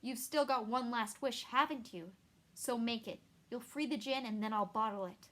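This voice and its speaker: A woman's voice: medium pitch, moderate pace. Woman voicing a proud, commanding sorceress. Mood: cold. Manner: commanding, forceful. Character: cold, proud female sorceress.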